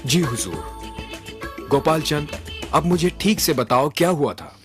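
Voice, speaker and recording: A man playing a calm, shrewd royal adviser in a Hindi cartoon, measured and courteous. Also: on the high side, over music. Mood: inquiring.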